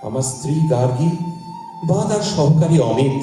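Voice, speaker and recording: A man's voice: fairly high pitch, lively intonation, moderate pace, mellow, soft background music, natural voice. A man acting a grieving, defiant air force wing commander in an audio drama, with a theatrical, biting, rhetorical delivery. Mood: proud, indignant. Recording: very echoey room, distant microphone.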